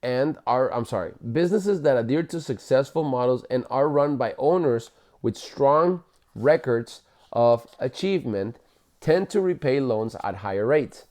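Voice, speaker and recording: A man with a mellow, relaxed voice at a middle pitch reads aloud from a book as a parent would at bedtime. His delivery is explanatory and informal, and he now and then corrects his own wording. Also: measured pace. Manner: explanatory, stumbles and corrects himself while reading. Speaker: parent reading aloud to children. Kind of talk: bedtime read-aloud of a book, with casual asides.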